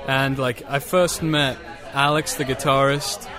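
Young man, from South Africa, band member, casual interview chat, easygoing, halting, mid-pitched voice. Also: fairly even intonation, over music, a crowd in the background.

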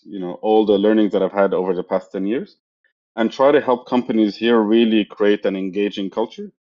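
A man's voice: lowish voice, darkish tone. Reflective man on dull lo-fi audio. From Egypt. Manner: reflective, with many fillers and restarts. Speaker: guest. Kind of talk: casual interview answers.

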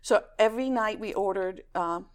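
A woman's voice: medium pitch, normal speed. A middle-aged woman raised in Greece, chatting as a guest and telling stories with frequent laughter. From raised in Greece, born in California. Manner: animated storyteller who laughs often. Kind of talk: casual chat, storytelling.

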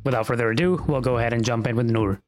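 A man's voice: medium pitch, rapid-fire, music in the background. Man hosting an interview podcast. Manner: reads a scripted intro, then asks friendly conversational questions. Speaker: podcast host.

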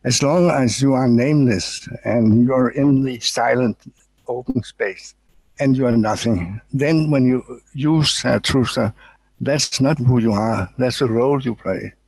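A man in his eighties from Denmark, asking questions in a relaxed spiritual conversation, speaking gently and haltingly with small chuckles. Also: medium pitch, breathy edge, dry recording, poor audio. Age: 80s. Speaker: audience member asking questions. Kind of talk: casual spiritual Q&A.

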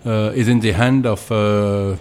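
A man with a French accent, a winemaker being interviewed, explaining things in hesitant, deliberate non-native English. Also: on the low side, steady tone, darkish tone, clean tone. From Alsace, France.

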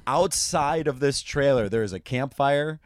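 A man hosting a faith podcast in casual chat, his voice scratchy and worn from yelling, relaxed and laughing easily between fillers. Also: medium pitch, measured pace. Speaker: podcast host. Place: camper trailer.

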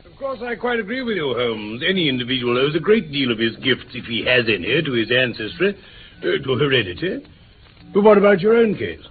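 A man with an English accent playing a genial, easily astonished gentleman companion in a radio play, polite and hearty. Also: medium pitch, big pitch swings, brisk, natural voice. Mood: curious, earnest. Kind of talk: mid-century British radio play acting. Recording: tinny old radio recording, faint hiss.

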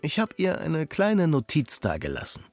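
A man's voice: fairly high pitch, wildly swooping pitch, normal speed, a little mellow, no room sound, soft background music, heavily acted. An actor playing a kind, persuasive man who talks sincerely and politely in a German children's audio drama. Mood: modest. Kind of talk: German children's radio play acting.

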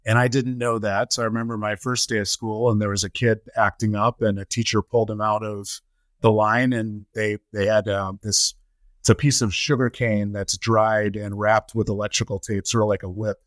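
A middle-aged man reflects on his life as an interview guest. His voice sits on the low side, and he builds his account phrase by phrase in a measured way. The sound is very dry, with no room around it. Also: little pitch movement, a bit bright, dry recording. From United States (Colorado) and Trinidad. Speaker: interview guest, nonprofit chief executive. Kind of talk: reflective personal storytelling in an interview.

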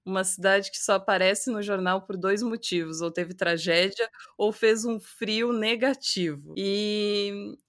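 A woman's voice: medium pitch, fairly even intonation, measured pace, a bit bright, dry recording, clean studio sound. A woman from the Rio Grande do Sul countryside with a light gaúcho accent mixed with Porto Alegre speech, talking reflectively in a casual conversation. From southern Brazil, Rio Grande do Sul countryside.

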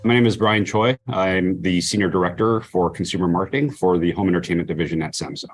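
Male executive interviewee, low-pitched voice, explanatory and conversational delivery. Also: fast talker, faint music behind. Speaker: corporate marketing executive being interviewed.

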